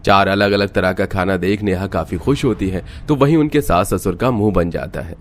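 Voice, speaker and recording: A man's voice: low-pitched, normal speed. A man reading scripted storytelling narration for a cartoon family tale.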